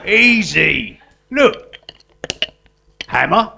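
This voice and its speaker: Man playing animated, gravelly bungling guerrilla artist. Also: high-pitched, very slow, full character voice. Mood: eager. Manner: hesitant, colloquial, with mock-grand turns of phrase. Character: cockney guerrilla artist, bungling, earnest, pretentious would-be robber. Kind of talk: live stage comedy acting. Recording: live stage recording with clear noise.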